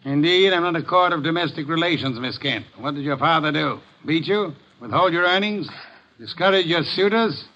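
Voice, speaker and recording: An imperious, fussy big gourmet detective in a vintage radio play. His voice is high and forceful, with pompous, exact diction, on a dull old recording. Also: expressive, moderate pace, a little hiss or hum. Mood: haughty, brisk. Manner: pompous, precise, formal diction. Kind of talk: 1950s radio drama acting.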